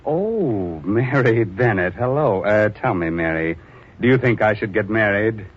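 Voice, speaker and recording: Casting: middle-aged macabre radio host, unhurried and punning, low voice, vintage lo-fi broadcast over music. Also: male voice, lively intonation, husky. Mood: teasing. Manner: punning, mock-sinister, chuckling. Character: wisecracking ghoulish male radio horror host. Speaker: horror anthology host. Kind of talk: 1940s radio acting.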